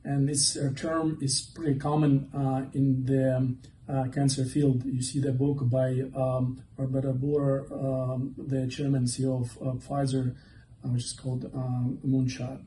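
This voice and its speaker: A man's voice: medium pitch, level tone, measured pace, warm tone, a little hiss or hum. A man in his forties from Ukraine giving a conference talk as a presenter, hesitant and full of fillers. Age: 40s. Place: event hall. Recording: echoey hall, mic from far off.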